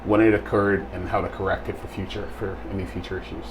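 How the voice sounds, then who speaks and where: A middle-aged man from Barbados talks in a low voice, unhurried and careful, pausing often as he explains. He sits in a small plain studio room. A clear hiss runs underneath the recording. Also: level tone, poor audio. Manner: measured, explanatory, with frequent pauses and fillers. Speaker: guest, quality assurance technician. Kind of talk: casual interview answers.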